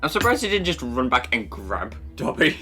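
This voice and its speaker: A man's voice: mid-range voice, big pitch swings, normal speed, sharp tone, faint music behind. A man giving casual, joking commentary as he watches a film with others.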